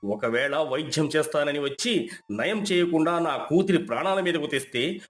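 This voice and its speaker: A man's voice: on the high side, lively intonation, normal speed, soft background music, close to an everyday voice. A man playing a wealthy village landlord and desperate father, solemn and authoritative, in a moral story. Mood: grave, threatening.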